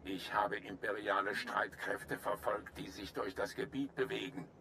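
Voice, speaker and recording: A man playing a grave, courteous alien general in a video game, speaking in a formal, measured, earnest way. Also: fairly low pitch, steady tone, creaky, bright, forceful tone, slight background noise, exaggerated voice. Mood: urgent, grave. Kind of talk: German video game voice acting.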